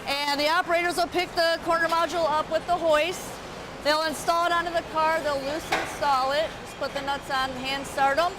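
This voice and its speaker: Very high-voiced woman in noisy factory. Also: fairly even intonation, normal speed. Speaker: plant worker explaining the process. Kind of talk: informal interview explanation. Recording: loud factory noise around voice.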